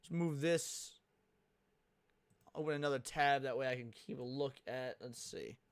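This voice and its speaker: A young American man giving casual livestream commentary, with slangy, profane, excitable talk. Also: mid-range voice, unhurried, smooth, no echo. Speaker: livestream host reacting to a video.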